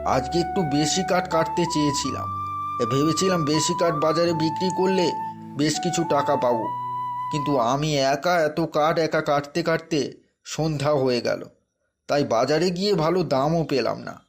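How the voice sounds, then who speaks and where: A man's voice: a little high, normal speed, loud music behind the voice, natural voice. A man playing a poor, hardworking village woodcutter and weary husband in a fairy tale cartoon, speaking earnestly. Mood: explaining.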